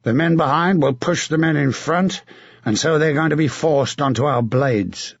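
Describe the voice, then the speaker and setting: A man voicing a gruff, profane veteran knight rallying his men before battle. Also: a little high, moderate pace, no echo, muffled recording. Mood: gruff rousing. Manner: rousing, profane.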